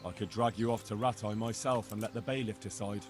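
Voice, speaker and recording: An actor playing a suspicious, defensive medieval villager in video game dialogue. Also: male voice, lowish voice, steady tone, brisk, over music, close to an everyday voice. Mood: indignant. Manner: defensive, scolding.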